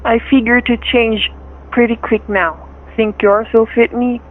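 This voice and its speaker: A woman's voice: fairly high pitch, flat delivery, unhurried, a little hiss or hum, natural voice. A woman playing a menacing, suspicious male gunman in a radio thriller, with sarcastic, slangy tough-guy talk. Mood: sneering. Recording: thin, phone-like radio-play recording.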